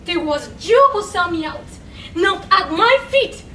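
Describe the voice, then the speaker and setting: Young woman reading a dramatic speech, high and slow, noisy background hiss. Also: lively intonation, distant mic. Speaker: contest reader. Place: large college event room. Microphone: far camera, standing away from mic. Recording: echoey room recorded from afar, noisy.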